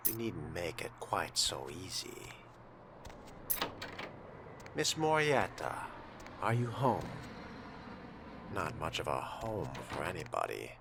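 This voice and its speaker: A man's voice: low voice, sing-song, slow, creaky, long pauses, noisy background. A man giving in-character game commentary in a haughty, drawling manner with formal diction. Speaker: player voicing his own vampire character in a roleplay playthrough.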